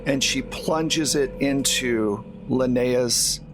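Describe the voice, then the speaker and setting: A man with a mid-pitched voice runs a tabletop game as its game master. He narrates casually and takes his time over the words. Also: very slow, background noise.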